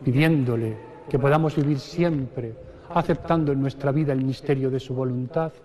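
Solemn priest in a cathedral. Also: male voice, mid-range voice, a little mellow. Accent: Spanish (Spain). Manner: slow solemn liturgical recitation, parts chanted. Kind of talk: reciting liturgical prayers at Mass. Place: large stone cathedral. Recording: long cathedral reverb.

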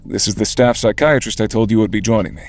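An actor playing an earnest, probing male journalist in an audio drama, with careful questions and a gravelly voice. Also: lowish voice, fast, soft background music, natural voice. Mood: polite, probing.

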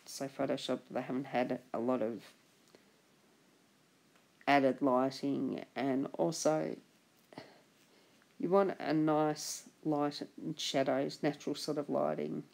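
A woman giving a relaxed drawing tutorial as a voice-over, husky from a chest infection, chatty and encouraging. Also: extremely low pitch, slow, pauses often. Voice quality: husky, gravelly. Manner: chatty, encouraging, trails off and restarts. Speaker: art instructor.

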